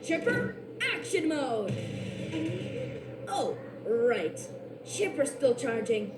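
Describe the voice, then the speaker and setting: Eager cartoon boy ranger, high and springy. He barks upbeat gadget commands. The sound is roomy and set back from the mic, with loud music behind. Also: very animated, extremely slow, recorded from a distance, heavily acted. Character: cheerful young boy park ranger.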